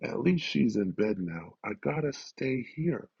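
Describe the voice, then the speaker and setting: A man voicing a gruff, bossy monster with a gravelly growl, in a storybook reading. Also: medium pitch, big pitch swings, measured pace, a little mellow, dry recording, dull audio, heavily acted. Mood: grumbling, resigned. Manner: gruff, blunt, commanding.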